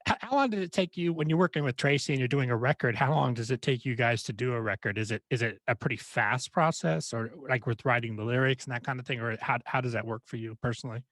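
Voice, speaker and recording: An American man hosting a music interview podcast, talking fast and loosely with frequent restarts. His voice sits dry and close to the mic. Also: medium pitch, fairly bright tone, dry recording. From United States. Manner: chatty, quick, lots of restarts and affirmations. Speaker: podcast host. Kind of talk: casual interview chat.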